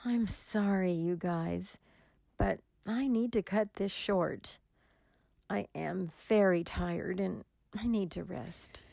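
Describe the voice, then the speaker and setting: A woman playing a lonely single woman who feels mocked by her family, in a radio drama, with a gravelly, slow voice. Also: on the low side, mellow, no room sound, studio quality, full character voice. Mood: weary. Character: lonely single middle-aged woman, hurt, self-doubting.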